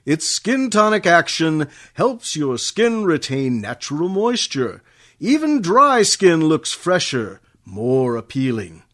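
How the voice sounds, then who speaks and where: A man delivers a vintage radio soap-ad style pitch as announcer. His voice is fairly high and animated, at a very slow, deliberate pace. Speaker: commercial announcer. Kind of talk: 1940s radio commercial pitch.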